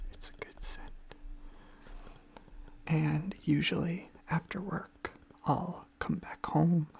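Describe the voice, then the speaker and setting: A person whispering a gentle, soothing ASMR ramble in a breathy voice with some fry, softly repeating phrases close to the microphone. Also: medium pitch. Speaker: ASMR video creator. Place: own room.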